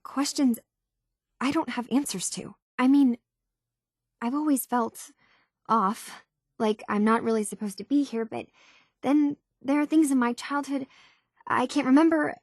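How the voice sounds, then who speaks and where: A woman's voice: high voice, unhurried, pauses often, no room sound, studio quality. An actress playing an earnest, troubled young woman unsure of who she is, speaking in a hesitant, sincere way for video game dialogue.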